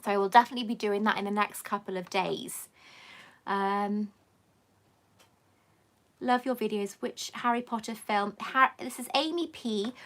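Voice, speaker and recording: Woman, middle-aged, mixed english-welsh accent, crisp bright tone, reading comments on a live stream. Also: medium pitch, moderate pace, pauses often. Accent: mixed southern English and Welsh. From England, raised in Wales. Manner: chatty, reads viewer comments aloud, laughs often. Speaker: host.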